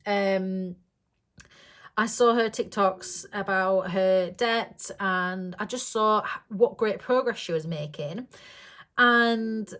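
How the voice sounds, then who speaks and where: A woman talking casually to her viewers in a slightly croaky voice. She rambles along with plenty of ums and short giggles, in a pitch that sits in the middle for a woman. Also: slow pace, fairly bright tone. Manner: rambling and chatty, with many ums and frequent giggles. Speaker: budgeting vlogger. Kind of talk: casual chat to camera.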